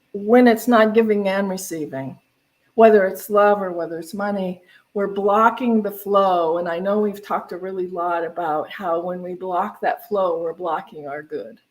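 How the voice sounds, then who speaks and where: A woman's voice: medium pitch, low-quality recording. A woman minister speaking informally at a spiritual center service, in a conversational, rambling way that often corrects itself. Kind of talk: informal church talk on giving.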